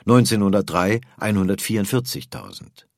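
A man's voice: fairly low pitch, sing-song, moderate pace, gravelly, no echo, clean studio sound. A man reads scripted documentary narration as a narrator, in pronunciation.

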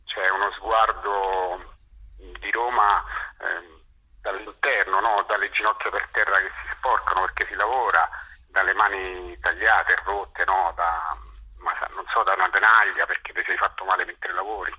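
A man with a Roman accent speaks in a low, very sharp and forceful voice with a husky grain. He talks casually as an interview guest, often restarting and repeating words as he searches for phrasing. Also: steady tone, rough recording. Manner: halting, self-correcting, repeats words.

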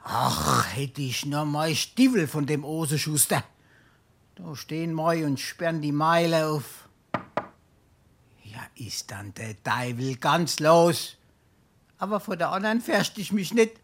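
An actor playing a shameless, wheedling, penniless tippler in Darmstadt dialect, theatrically swinging between mutters and exclamations in a stage comedy. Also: male voice, fairly high pitch, animated, takes it slowly, gravelly, full character voice. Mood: grumbling. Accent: Hessian, Darmstadt dialect.

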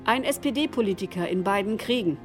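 A woman's voice: medium pitch, animated, normal speed, faint music behind, natural voice. A woman playing a sober, restrained co-narrator in a radio documentary drama, matter-of-fact and keeping order. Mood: restrained, factual.

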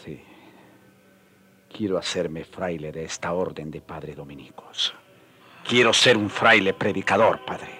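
A dejected, humble seeker of peace in a radio serial. He talks very slowly in a low but bright voice, sighing with resignation, over light music. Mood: penitent. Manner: slow, breathy sighing, resigned and penitent. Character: weary, humble, dejected Spanish defender of the natives, seeking peace. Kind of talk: radio drama acting.

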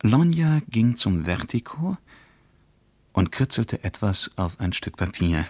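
An actor playing a reflective West German traveller who recounts a journey in a measured, literary radio-play narration. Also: male voice, lowish voice, very animated, no echo, heavily acted.

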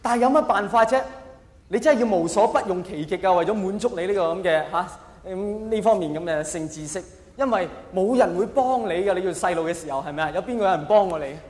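A man's voice: high-pitched, fast, a little mellow. A man with a Hong Kong accent performing a stand-up comedy monologue, telling stories theatrically and putting questions to his listeners. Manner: theatrical storytelling with rhetorical questions. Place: live on stage in a large hall. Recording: echoey hall with long reverb.

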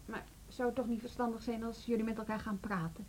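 An actress in a Dutch radio drama playing an earnest, conciliatory deputy headmistress, polite and hesitant in manner. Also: female voice, on the high side, steady tone, quick pace, darkish tone, close to an everyday voice. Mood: earnest, cautious. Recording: noisy old radio drama recording.

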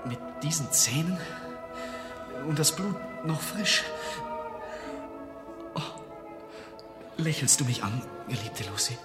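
Slow, anguished young lover. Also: male voice, on the high side, animated. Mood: horrified grief. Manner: anguished, halting. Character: grief-stricken young nobleman, bereaved lover, desperate. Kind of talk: German radio drama acting. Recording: reverberant, loud music underneath. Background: loud music.

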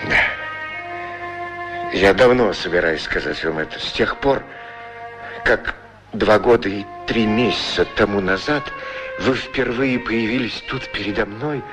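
An actor playing a lonely, tender, anxious war-veteran shoe shiner, speaking hesitantly and earnestly in a 1960s radio play. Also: male voice, fairly low pitch, measured pace, pauses often, close to an everyday voice. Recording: old radio recording with music behind. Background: prominent music.

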